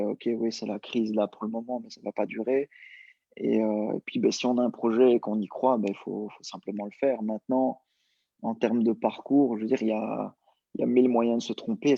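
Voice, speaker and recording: Young male guest, low husky gravelly voice, relaxed hesitant delivery, dull lo-fi recording. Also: no echo. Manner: relaxed, hesitant with many fillers. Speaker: entrepreneur guest. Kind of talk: casual interview answers. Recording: dull lo-fi sound.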